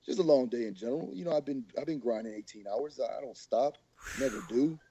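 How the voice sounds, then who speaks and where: A man co-hosting a podcast as a strength coach, his voice a little hoarse, talking in a laid-back way with lots of fillers. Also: medium pitch, fast, mellow, muffled recording, a little hiss or hum. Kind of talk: casual podcast chat.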